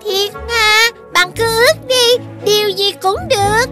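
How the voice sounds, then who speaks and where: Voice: extremely high pitch, unhurried. An actor voicing a small magical wish-granting creature in a Vietnamese children's cartoon, childlike and emphatic. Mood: eager. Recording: music behind.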